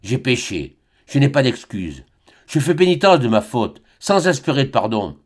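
A man's voice: medium pitch, sing-song, normal speed. A man playing a solemn, anguished young priest. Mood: fervent. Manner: solemn, quiet, grave.

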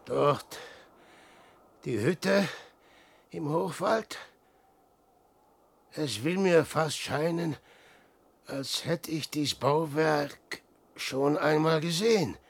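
A weary old adventurer in a verse radio play, speaking very slowly with a creaky edge. He broods and mutters theatrically, mocking himself. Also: a little high, no echo, full character voice. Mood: wondering. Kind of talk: verse monologue in 1960s German radio drama.

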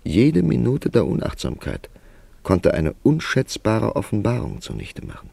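An actor playing a thoughtful, calculating male writer who tells his story in a deliberate, literary way in a German radio play. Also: on the low side, huge pitch swings, mellow, no echo, exaggerated voice. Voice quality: creaky, gravelly.

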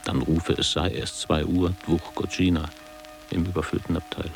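A very deep-voiced middle-aged man recalls his past in a radio play. His delivery is measured and literary, with a creaky, gravelly grain. Music sits clearly behind his reflective narration. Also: level tone. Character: reflective ageing former pupil recalling postwar boarding school. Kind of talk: scripted radio drama narration.

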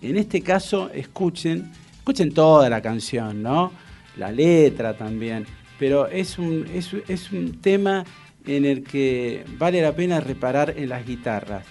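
A man with an Argentine accent hosting a casual radio interview, speaking haltingly and repeating his words and phrases. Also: fairly high pitch, lively intonation, gravelly, darkish tone, faint music behind. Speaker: radio show host. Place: radio studio.

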